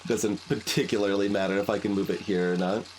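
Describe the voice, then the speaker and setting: A man giving casual game commentary as host, thinking aloud in a halting way. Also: fairly low pitch, steady tone, darkish tone, slight background noise. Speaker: gaming video host. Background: game music.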